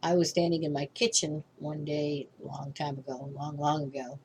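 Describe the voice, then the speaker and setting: Low-voiced older woman teaching spirituality. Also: little pitch movement, moderate pace, darkish tone, a little hiss or hum, low-quality recording. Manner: rambling, with frequent restarts and tag "okay?". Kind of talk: informal spiritual class talk.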